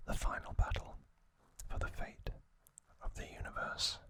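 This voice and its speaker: A man whispering slowly and deliberately in breathy, hushed short phrases, as an ASMR host narrating trivia.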